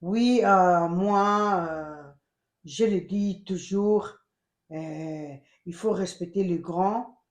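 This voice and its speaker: A woman with a Congolese accent giving emphatic, animated casual commentary as a channel host, switching between French and Lingala. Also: lowish voice, extremely slow, roomy sound, dull audio. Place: United States.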